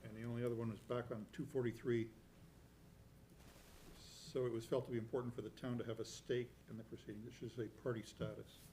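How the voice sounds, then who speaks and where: Man, selectboard member, mellow voice, deliberate, slight background noise. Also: mid-range voice, level tone, low-quality recording. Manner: deliberate, reads proposed wording aloud. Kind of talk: public meeting remarks. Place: town meeting room.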